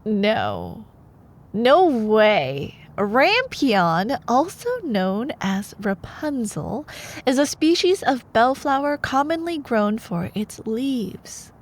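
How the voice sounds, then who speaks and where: A woman in an ASMR bedtime storytelling style, expressive and playful, like a girlfriend reading aloud. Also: on the high side, takes it slowly.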